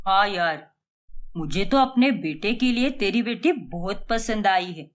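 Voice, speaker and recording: A woman voicing a hearty family friend, lower and softer. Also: animated, unhurried, close to an everyday voice. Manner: hearty, matchmaking insistence. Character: middle-aged man, the father's cheerful friend. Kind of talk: animated storybook voice acting.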